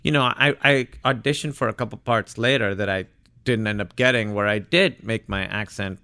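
A man's voice: fairly low pitch, normal speed, pushed and bright. A man in his thirties from Pakistan, an actor telling stories as a guest in a casual interview, full of fillers and acted-out quotes.

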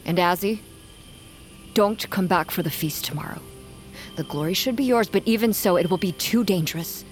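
An actress in an audio drama playing a grave, protective hunt leader who gives earnest, hushed warnings. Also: female voice, fairly low pitch, normal speed, faint music behind, natural voice. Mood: urgent, protective. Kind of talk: audio fiction drama acting.

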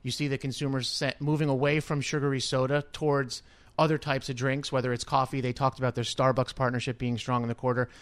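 A man's voice: mid-range voice, flat delivery, fast, smooth. A man working as a consumer reporter, giving informal, emphatic business analysis in conversation.

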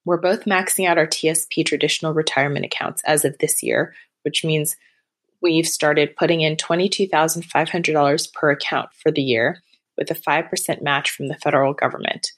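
A woman in her thirties calling in, reading out a prepared question in a clear, matter-of-fact way. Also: deep voice, fairly even intonation, moderate pace. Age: 30s. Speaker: caller.

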